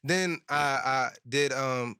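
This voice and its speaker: A man's voice: medium pitch, slow, sharp tone, clean tone, no room sound, studio quality. A young man from Phoenix, a guest rapper, talking bluntly and emphatically in a casual podcast chat. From Phoenix, Arizona. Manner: blunt, emphatic, swears freely.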